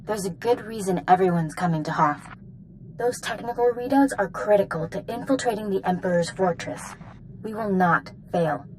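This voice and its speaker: A woman playing a resolute knight commander in a sci-fi video game, giving brisk, earnest, authoritative briefings. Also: medium pitch, a little mellow, slight background noise, close to an everyday voice, rough recording. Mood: resolute, urgent. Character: resolute female Jedi Knight, military commander. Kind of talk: video game dialogue acting.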